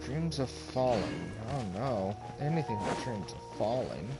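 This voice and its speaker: A man giving casual, blunt and opinionated gameplay commentary on a live stream, over loud game music. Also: medium pitch, very animated, unhurried, vocal fry, low-quality recording. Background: game music and sounds.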